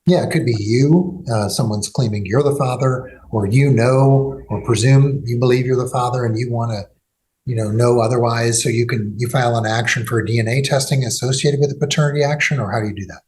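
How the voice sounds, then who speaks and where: A man's voice: mid-range voice, steady tone, brisk, a little mellow, low-quality recording. A man hosting a legal podcast, talking in a loose conversational way with fillers and restarts. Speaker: podcast host and law firm executive.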